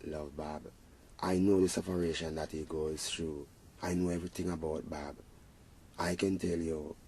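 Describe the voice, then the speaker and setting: A man's voice: deep voice, mellow, breathy edge. A Jamaican man, a reggae singer being interviewed, talking in an earnest, rambling way with patois phrasing. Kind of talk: casual interview talk.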